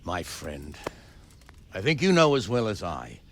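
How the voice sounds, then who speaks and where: A seasoned, pragmatic nobleman in a medieval game. His middle-aged voice is fairly low but very expressive, weighty and wry, over a faint background noise. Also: unhurried, exaggerated voice. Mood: grave, doubtful. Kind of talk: dramatic video game acting.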